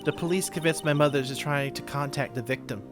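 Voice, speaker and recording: A man voicing a forlorn, soft-spoken young orphaned woman who is a spirit medium, halting and earnest, in an amateur game voice-over. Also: fairly high pitch, music in the background, lightly acted. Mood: somber.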